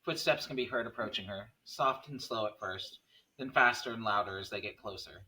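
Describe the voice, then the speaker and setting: Bright, forceful male screenplay narrator. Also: on the low side, moderate pace, low-quality recording. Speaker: narrator reading stage directions. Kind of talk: scripted table-read narration of a screenplay.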